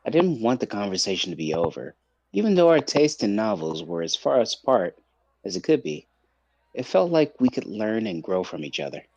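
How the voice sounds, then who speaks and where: A man's voice: on the low side, very animated, normal speed, gravelly, a little mellow, dry recording, dull audio. A man reading visual novel narration aloud as a streamer.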